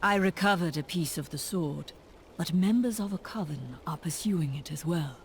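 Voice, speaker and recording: A woman's voice: lowish voice, moderate pace, slight background noise, natural voice. Woman playing a cool, stern necromancer. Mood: resolute. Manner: cool, terse, formal. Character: stern, cold, resolute necromancer hero. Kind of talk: video game voice acting.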